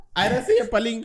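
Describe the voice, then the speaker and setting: A Malaysian woman with a Malaysian English accent, co-hosting a podcast in casual chat, agreeable and full of quick back-channel replies. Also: fairly high pitch, fairly bright tone. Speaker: podcast co-host.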